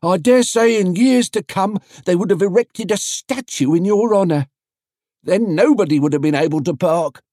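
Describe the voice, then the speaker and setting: A man's voice: sits high, normal speed, warm tone, no echo, studio quality, pitched above the performer's own voice. A man voicing chatty male market traders in a storybook, folksy, emphatic and full of advice. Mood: excited, opinionated.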